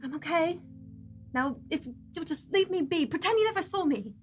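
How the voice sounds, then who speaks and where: A woman's voice: very high voice, lively intonation, moderate pace, faint music behind, voice pushed up. A woman playing a sheltered young princess in a tabletop roleplay, polite, guarded and a little hesitant.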